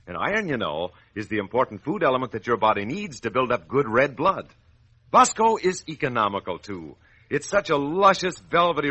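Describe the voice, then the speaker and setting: Bright, animated American radio announcer man. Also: mid-range voice, no room sound. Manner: hearty, salesmanlike delivery. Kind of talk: 1940s children's radio announcing and ad reading.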